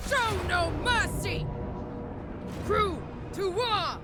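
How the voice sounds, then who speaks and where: A very high-pitched, bloodthirsty pirate queen commands her crew in a video game. She declaims in slow, drawn-out battle cries under loud music. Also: animated, exaggerated voice. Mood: fierce, commanding.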